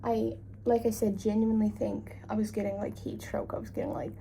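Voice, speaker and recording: A woman's voice: medium pitch, expressive, a little fast, vocal fry, mellow, a little hiss or hum, poor audio. A young woman from Labrador, Canada, chatting casually in a travel vlog, rambling and self-deprecating, hot and tired. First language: English. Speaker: travel vlogger.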